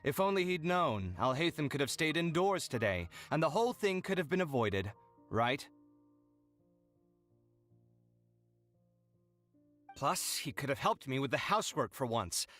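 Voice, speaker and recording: A man's voice: fairly high pitch, normal speed. An actor playing a dramatic, emotional young man, a fussy and huffy housemate in a video game. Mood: weary, concerned. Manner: theatrical, huffy, expressive. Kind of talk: animated video game voice acting. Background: music.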